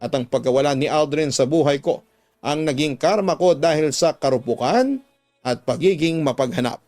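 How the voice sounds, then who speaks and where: Male Filipino radio drama narrator, slightly higher register, dramatic letter-reading delivery, music bed underneath. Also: moderate pace, darkish tone. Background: background music.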